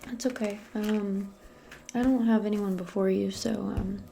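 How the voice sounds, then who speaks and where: A woman playing a casual, gently awkward modern witch in a soft-spoken ASMR roleplay, speaking hesitantly in a breathy, often whispered voice. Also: mid-range voice, steady tone, unhurried, slight background noise. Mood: friendly, hesitant. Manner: hesitant, murmuring, conversational. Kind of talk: ASMR personal-attention roleplay.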